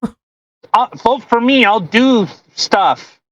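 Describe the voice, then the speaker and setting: A woman's voice: a little high, slow pace, dry recording, muffled recording. A woman hosting a podcast, chatting casually in a rambling, incredulous and mocking way. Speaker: podcast host. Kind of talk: casual chat.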